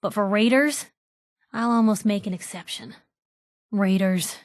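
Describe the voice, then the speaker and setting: A woman's voice: mid-range voice, measured pace, no echo, studio quality, lightly acted. An actress playing a plucky young woman reporter, a video game companion with a wry, conversational, sardonic way of talking. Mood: scornful.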